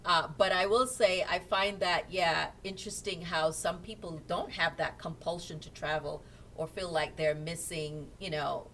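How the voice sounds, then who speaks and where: A woman in her thirties chats casually on a home live stream, lower-pitched and sociable. Her voice sits back from the webcam mic with a faint low rumble underneath. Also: fairly even intonation, normal speed, fairly bright tone, recorded from a distance, rough recording. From England. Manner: chatty, laughs often, reads viewer comments aloud. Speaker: co-host of a couple's live chat. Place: small room at home, indoors.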